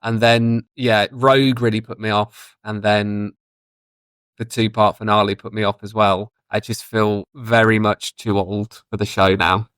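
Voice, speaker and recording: A man's voice: on the low side, flat delivery, measured pace, fairly bright tone, clear, resonant tone, no room sound. A man in his thirties chatting casually and giving his opinions as a podcast guest. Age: 30s.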